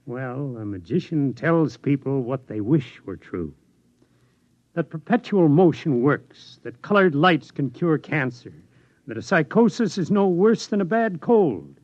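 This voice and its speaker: A man's voice: a little high, big pitch swings, unhurried, no echo. An actor in a 1950s radio drama playing a weary older male scientist who speaks reflectively and ruefully. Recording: dull old radio recording.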